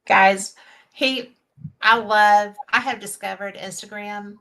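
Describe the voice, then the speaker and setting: A woman's voice: medium pitch, animated, slow, a bit bright. An older woman with a Southern US accent, hosting a casual live-stream chat, chatty and folksy, laughing easily. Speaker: host.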